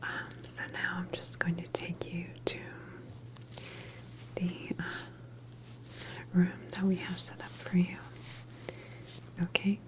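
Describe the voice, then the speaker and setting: A woman playing a calm, reassuring doctor in an ASMR medical roleplay, speaking in a soft, breathy whisper with gentle, hesitant phrasing. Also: lowish voice, slow pace, long pauses, rough recording. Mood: soothing, sympathetic. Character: calm, reassuring female doctor at a government facility.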